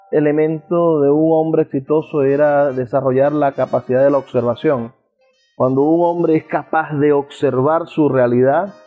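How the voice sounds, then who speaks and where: A Venezuelan man hosting a radio interview, speaking in measured, rhetorical phrases. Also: on the high side. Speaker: radio show host and interviewer. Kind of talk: radio interview with an eloquent introduction. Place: balcony of a house. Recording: phone-like thin sound, faint music behind.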